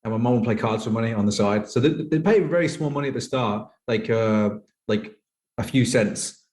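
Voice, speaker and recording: A man's voice: medium pitch, a little fast, a little mellow. A thirty-year-old British man chatting casually as an interview guest, telling personal stories in a rambling way with lots of "like". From United Kingdom. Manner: rambling, peppered with "like". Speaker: podcast guest, professional poker player.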